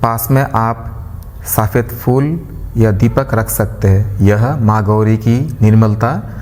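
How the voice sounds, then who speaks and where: Slow, mellow male meditation guide with long reverb. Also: lowish voice, slight background noise. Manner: gentle instructing, unhurried phrases with pauses. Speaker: meditation guide, yoga teacher. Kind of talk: guided meditation with mantra chanting.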